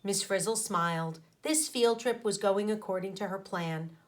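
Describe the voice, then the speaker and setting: A woman teacher reading a children's story aloud expressively, pausing to explain things to her young listeners. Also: mid-range voice. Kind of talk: animated storybook read-aloud with asides to children.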